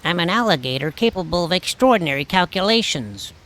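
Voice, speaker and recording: This is a guileless young boy playing an alligator supervillain, as a man acts him out. He sounds high and earnest, and he takes every joke literally. His tone is bright and sharp. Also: animated, fairly quick, low-quality recording. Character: ten-year-old boy dressed as an alligator villain, naive. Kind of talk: audio drama acting.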